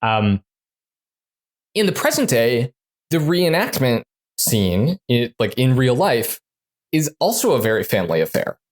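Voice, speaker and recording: A man who designs games, talking casually in an interview, full of fillers and quick restarts. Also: mid-range voice, big pitch swings. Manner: chatty, many fillers and restarts. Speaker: game designer guest.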